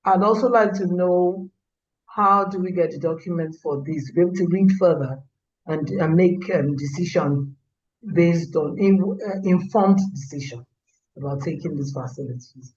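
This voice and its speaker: A man with a high voice asks questions over a dull video call with a faint hiss behind him. He is polite and halting, breaking off words and restarting them. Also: darkish tone. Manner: polite, halting with self-corrections. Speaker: caller asking questions. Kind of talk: webinar question.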